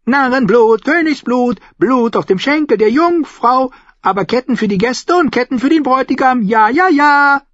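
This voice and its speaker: A man's voice: very high voice, animated, moderate pace, a bit bright, no room sound, highs cut off, voice pushed up. A man voicing a capering, eerie fool who chants cryptic rhymes in a sing-song way in a fantasy audiobook. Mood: eerie glee.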